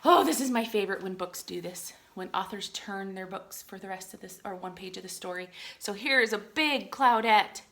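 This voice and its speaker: A woman reading a picture book aloud to young children in an expressive way, adding chatty explanations and questions for her listeners. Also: medium pitch, normal speed, few pauses. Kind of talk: animated read-aloud with chatty asides and questions.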